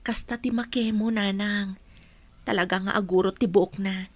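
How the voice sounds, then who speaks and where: A woman's voice: medium pitch, steady tone, moderate pace, no room sound, natural voice. A woman playing a caring, burdened middle-aged mother in an audio drama. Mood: concerned, patient.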